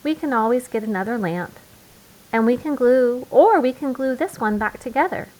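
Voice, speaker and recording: A woman voicing a gentle, hurt mother bear in a storybook, speaking earnestly and with emphasis. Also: fairly high pitch, animated, normal speed, pitched above the performer's own voice, lightly acted, rough recording. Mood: sad, earnest.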